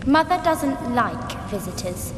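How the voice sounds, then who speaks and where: A woman's voice: a little high, big pitch swings, measured pace. A woman voicing a sly, playful child vampire in a video game, teasing and coaxing in an echoey hall with ambient noise. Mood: taunting, coaxing. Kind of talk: video game cutscene acting. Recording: echoey stone hall, noisy ambience behind.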